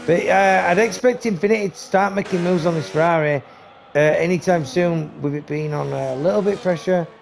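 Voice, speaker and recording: A man calls a sim race live, talking straight to his viewers with a raspy, fairly high voice that is going hoarse. He jumps into sudden excited bursts when the racing gets close. Engine noise from the race plays loudly under him the whole time. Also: normal speed. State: losing his voice. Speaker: race commentator. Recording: noisy stream with engine sound. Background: race car engine noise.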